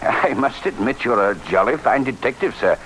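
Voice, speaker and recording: An actor playing an affable, fussy English gentleman, hesitant and polite, in an old radio drama. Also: medium pitch, expressive, normal speed, close to an everyday voice, poor audio. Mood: polite unease. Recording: vintage radio recording, faint noise.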